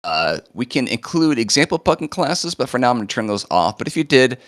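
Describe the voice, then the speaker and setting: A man presenting a live coding demo, chatting casually with lots of fillers, his voice a bit hoarse as he recovers from illness. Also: mid-range voice, big pitch swings, brisk, fairly bright tone, dry recording. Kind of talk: live screen-share walkthrough.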